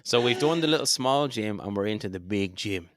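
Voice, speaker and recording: A man's voice: lowish voice, lively intonation, a little fast, very noisy. A man co-hosting a podcast, chatting casually through a game playthrough, swearing and repeating phrases for emphasis. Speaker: podcast co-host.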